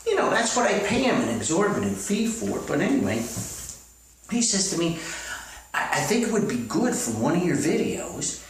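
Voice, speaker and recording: A man's voice: sits high, very animated, moderate pace, slight background noise, voice pushed up. A man playing a chipper, bumbling, delusional self-styled famous author in a comedy skit, stammering and rambling in a folksy, optimistic way. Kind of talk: improvised-sounding monologue comedy skit. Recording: echoey room, far from the mic.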